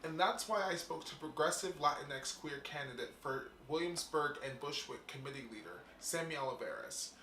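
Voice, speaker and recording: A male host riffs through a fast, irreverent comedic monologue, swearing freely before turning to interview a guest. His voice sits somewhat high, and the room around him rings with noticeable echo and a faint hiss. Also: lively intonation, bright, forceful tone. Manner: quick, profane, joking delivery.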